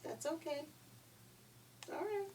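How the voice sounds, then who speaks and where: A woman's voice: high-pitched, a little slow, a little mellow, distant mic, slight background noise, pitched above the performer's own voice. A woman voicing a clever, polite little male pig from a children's story, agreeable and sing-song. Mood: determined.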